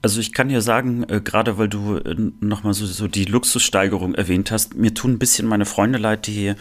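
A man's voice: fairly low pitch, fairly even intonation, brisk. A man from the former Soviet Union, co-hosting a podcast, talking casually with many fillers and restarts. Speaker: podcast co-host. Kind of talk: casual chat.

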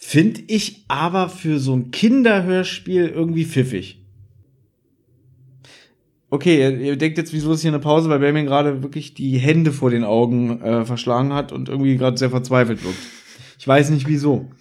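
A man's voice: medium pitch, moderate pace, a little mellow. A man chatting casually and jokingly as a podcast host, retelling an audio drama. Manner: joking, acts out quoted dialogue in passing.

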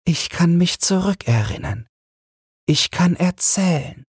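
Gentle little tree-spirit girl, played by a man, raised pitch, husky, slow lilting fairy-tale delivery. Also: lively intonation, no echo, studio quality, exaggerated voice. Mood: mysterious, gentle. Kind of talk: bedtime fairy tale reading.

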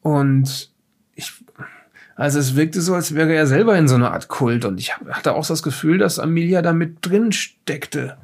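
Gravelly, hesitant shifty safecracker played by a man. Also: a little high, moderate pace. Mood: agitated, insistent. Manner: hesitant, rambling, self-justifying with stammered restarts. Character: shifty former safecracker defensively recounting being attacked and kidnapped. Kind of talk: improvised audio drama dialogue.

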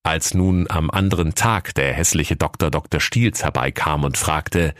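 A man's voice: extremely low pitch, a little slow, fairly bright tone, no room sound, clean studio sound. A man narrating a scripted comic fairy tale as a mock-solemn storyteller.

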